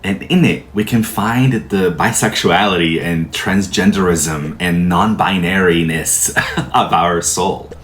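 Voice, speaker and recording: Slow, low-voiced man teaching tarot. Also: fairly bright tone, a little hiss or hum. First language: Portuguese. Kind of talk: calm explanatory lecture.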